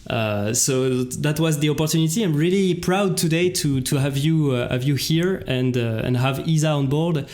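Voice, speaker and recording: A man's voice: a little high, moderate pace, hardly any pauses, a little mellow. A man hosting a casual livestream chat and introducing guests, often repeating words as he gropes for phrasing. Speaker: show host.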